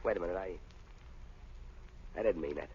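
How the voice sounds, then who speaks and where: A man's voice: sits low, moderate pace, vocal fry, a little mellow, a little hiss or hum. An actor playing a volatile, bitter convict in a radio crime drama, with surly, slangy tough-guy talk. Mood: subdued, uneasy. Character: embittered, volatile male convict, tough-talking gangster. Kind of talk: old-time radio crime acting. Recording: muffled old radio recording.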